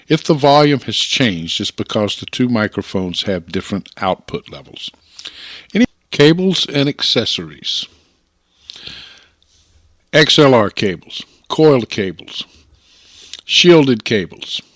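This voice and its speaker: An American man narrating an instructional hobby slideshow in a plainspoken, folksy, deliberate way, close on a broadcast-style microphone. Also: mid-range voice, big pitch swings, fairly bright tone, dry recording. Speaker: amateur radio hobbyist presenter.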